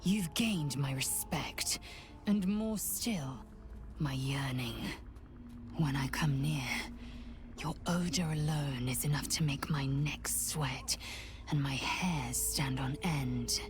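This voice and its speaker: A low-voiced, fierce warrior woman in a video game. She speaks slowly and intimately, breathy with seductive hunger, with music playing behind her. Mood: smouldering desire.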